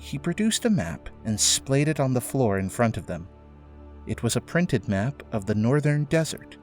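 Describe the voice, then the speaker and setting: A man's voice: mid-range voice, very animated, moderate pace, darkish tone. A man reading scripted narration for an audio drama, in a storytelling style. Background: faint music.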